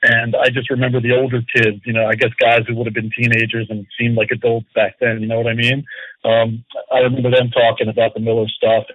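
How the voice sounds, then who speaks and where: A man's voice: medium pitch, monotone, fast talker, dry recording. A man in his early forties from Atlantic City, a guest answering questions in rambling, friendly talk full of fillers. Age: 40s. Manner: rambling, lots of ums and you-knows, friendly. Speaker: comics writer and editor, guest. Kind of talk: casual interview. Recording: dry, tinny phone-like line.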